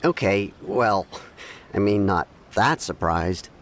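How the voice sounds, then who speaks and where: A man voicing a calm, observant townsman in a video game who speaks in a measured, thoughtful, slightly hesitant way. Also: lowish voice. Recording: game music behind.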